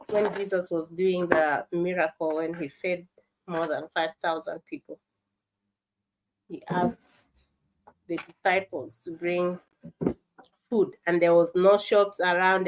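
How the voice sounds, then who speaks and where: A woman who speaks as a gives a motivational talk in an earnest, emphatic way, halting and repeating herself at times. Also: mid-range voice, rough recording.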